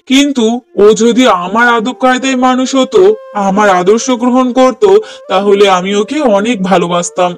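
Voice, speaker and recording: A middle-aged cartoon father, played by a man with an unusually high voice for a man. He is stern and exasperated with his family. He lectures firmly and reproachfully. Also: lightly acted. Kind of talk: Bengali moral-story cartoon dubbing.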